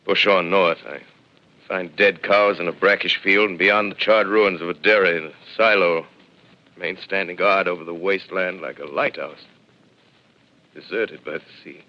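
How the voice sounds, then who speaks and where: An actor playing a shaken lone astronomer surviving in a deserted land, in a hushed, reflective 1930s radio drama style, on a dull lo-fi old broadcast. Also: male voice, deep voice, steady tone, moderate pace, lightly acted. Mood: brooding.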